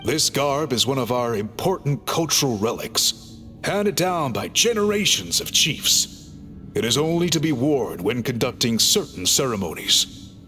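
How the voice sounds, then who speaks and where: Calm formal chief guy, explains things politely, bright lively voice, faint music. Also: mid-range voice, a little slow. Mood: reassuring. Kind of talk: game cutscene voice acting.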